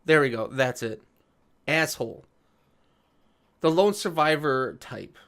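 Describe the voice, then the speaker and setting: Male video commentator, pitched a bit above average. Informal, sarcastic, quick to argue with the text he reads out. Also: lively intonation, a bit bright. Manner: sarcastic, argumentative asides. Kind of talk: casual reaction commentary while reading an article aloud.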